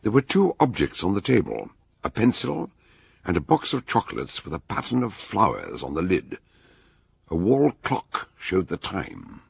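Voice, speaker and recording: An actor playing a grave, deliberate detective doctor in a radio mystery, with a gravelly, husky voice. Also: male voice, low-pitched, wildly swooping pitch, full character voice. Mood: grave narration. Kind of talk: radio mystery drama with first-person narration.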